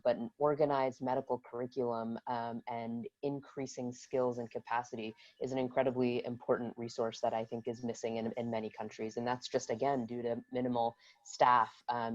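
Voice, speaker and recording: American doctor on a panel, pretty flat delivery, hesitant, muffled lo-fi sound. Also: medium pitch, no room sound. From United States. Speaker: physician panelist. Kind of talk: unscripted seminar discussion.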